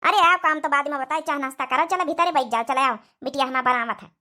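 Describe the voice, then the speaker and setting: Woman doing cartoon village mom, awadhi accent, high bright voice, motherly, coaxing everyone along. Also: fast talker. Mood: practical, hospitable. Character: doting middle-aged widowed village mother, practical, hospitable. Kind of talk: cartoon comedy dialogue.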